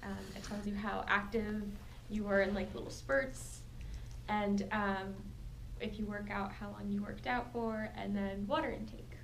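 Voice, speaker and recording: A woman's voice: medium pitch, little pitch movement, a little slow. A twenty-four-year-old woman chatting in a focus group, giggly and talkative. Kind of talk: casual discussion. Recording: echoey room, faint hiss.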